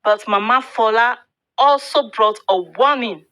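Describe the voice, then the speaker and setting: Slow, bright-voiced woman storyteller. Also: on the high side. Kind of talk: scripted folktale narration.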